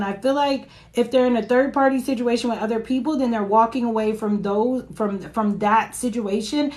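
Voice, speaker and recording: A woman with a raspy voice giving a casual live-stream tarot reading into her phone, chatting and reading comments between cards. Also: on the high side. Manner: chatty, reads viewer comments aloud, laughs often. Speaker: tarot reader.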